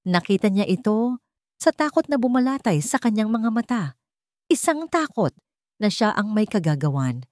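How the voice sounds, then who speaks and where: A woman with a Filipino accent narrating a scripted dramatic story in a measured, solemn way. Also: mid-range voice, sing-song, a little mellow, no echo, studio quality.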